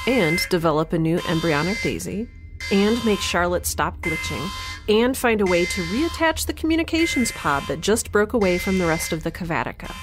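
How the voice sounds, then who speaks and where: A frazzled young woman scientist in a sci-fi audio drama. She has a lowish voice and a chatty, sardonic delivery that turns halting when flustered, with music underneath. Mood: determined. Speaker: lead actor and creator of the podcast. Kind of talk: first-person audio drama, spoken log.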